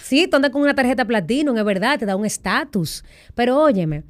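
A middle-aged woman with a Dominican accent, telling her own story in a candid interview, lively and full of quoted voices. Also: a little high, brisk. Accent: Dominican Spanish. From Dominican Republic. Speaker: guest.

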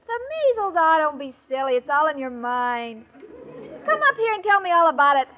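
A woman's voice: sits high, big pitch swings, normal speed. An actress playing a sweet, scatterbrained young woman in a 1940s radio comedy, naive and earnestly muddled. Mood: cheerful, naive. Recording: dull old radio recording, audience, faint noise.

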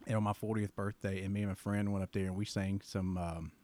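A man's voice: low voice, flat delivery, fast talker, warm tone, no echo. A man in his forties hosting a casual interview, telling jokey stories in a chatty way. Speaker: podcast host.

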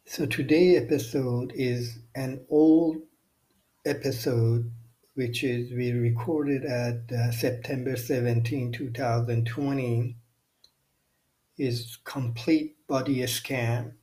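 A man hosting a guided meditation podcast, speaking non-native English in short, measured phrases with long pauses. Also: mid-range voice, steady tone, warm tone, low-quality recording. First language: not English. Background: music.

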